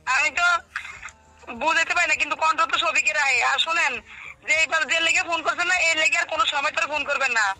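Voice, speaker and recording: A woman's voice: high-pitched, steady tone, fast. A woman with a regional Bangladeshi accent in a casual phone conversation, questioning and plain-spoken. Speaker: call recipient. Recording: phone call with music behind.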